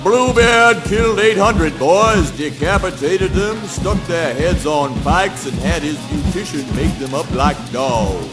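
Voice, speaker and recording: A man playing a grim, mock-solemn narrator of gruesome history in a spoken word play, theatrical and deliberate. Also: on the high side, lively intonation. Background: loud music.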